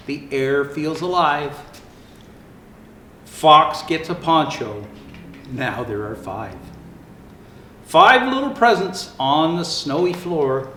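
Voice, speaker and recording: A man playing a jolly old Santa Claus, reading picture books aloud to children in a theatrical, unhurried, expressive storytelling manner. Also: fairly high pitch, exaggerated voice. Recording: roomy echo, faint background noise.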